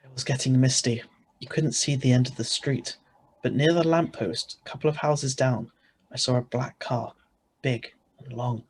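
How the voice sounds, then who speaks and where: An actor playing a tense, protective husband in an old-style radio thriller, urgent and plain-spoken. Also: male voice, medium pitch, moderate pace, a little mellow, breathy edge, close to an everyday voice. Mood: grim narrating. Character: tense, protective ordinary husband, hard-boiled narrator. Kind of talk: 1940s-style radio drama acting and narration. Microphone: video call.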